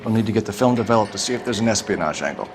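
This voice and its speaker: An actor playing a serious, composed police detective, speaking with earnest authority in a video game. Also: male voice, fairly low pitch, animated, rapid-fire, music in the background. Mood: focused.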